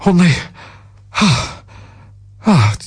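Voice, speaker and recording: A man voicing a kind old merchant who pleads gently and then gasps in terror. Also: high voice, very animated, drawn-out words, breathy edge, pitched above the performer's own voice, full character voice. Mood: panicked. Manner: pleading, breathless and panicky.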